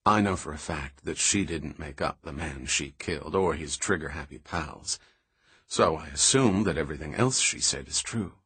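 A man voicing a steady, practical male engineer in an audiobook, with a dry, matter-of-fact manner. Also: extremely low pitch, normal speed, breathy edge, dry recording, voice pushed down, exaggerated voice. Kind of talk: audiobook dialogue reading.

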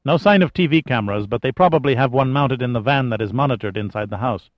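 A man's voice: medium pitch, fast, no room sound, lightly acted. A cautious, blunt male lookout, as read by an audiobook narrator, unafraid and matter-of-fact. The sound is slightly dull. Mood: watchful, businesslike.

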